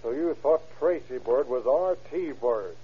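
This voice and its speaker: An actor in an old radio crime drama playing a hard, sarcastic police interrogator, needling and accusing in clipped prods. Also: male voice, medium pitch, animated, unhurried, slightly rough. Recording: dull vintage radio recording.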